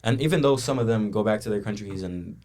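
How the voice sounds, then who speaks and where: A man's voice: on the low side, fast, darkish tone. A young man from Angola in casual podcast conversation, explaining his view at length with frequent restarts. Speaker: podcast co-host.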